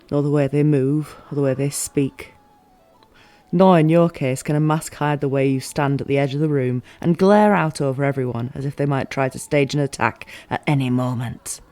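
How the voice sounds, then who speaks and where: A woman playing a teasing, playful fantasy ruler in a scripted audio roleplay, with formal, expressive phrasing. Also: deep voice, dry recording, natural voice.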